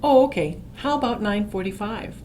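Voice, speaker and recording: Woman acting a brisk medical receptionist. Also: mid-range voice, expressive, darkish tone, close to an everyday voice, poor audio. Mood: businesslike. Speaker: doctor's office receptionist. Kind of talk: acted phone dialogue in an educational video. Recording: faint background noise.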